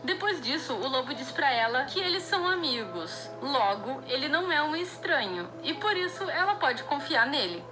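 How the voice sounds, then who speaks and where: A woman's voice: high-pitched, sing-song, moderate pace, few pauses, over music, low-quality recording. A woman with a Brazilian accent recapping a story casually, with colloquial, wry asides. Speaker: video narrator.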